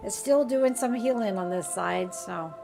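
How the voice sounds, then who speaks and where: A woman with a raspy, gravelly voice guides a live healing session in a relaxed, conversational way. Her pitch sits in an ordinary middle range, and her tone stays gentle and reassuring. Soft music plays faintly behind her. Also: unhurried. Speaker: spiritual healer hosting a live group healing call. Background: faint background music.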